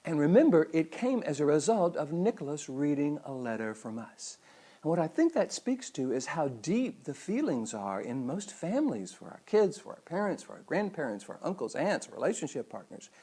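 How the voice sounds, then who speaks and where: A man's voice: on the high side, big pitch swings, moderate pace, mellow, slightly rough. A middle-aged man giving a motivational talk as a host, with earnest, emphatic phrasing. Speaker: psychologist and speaker hosting a weekly show.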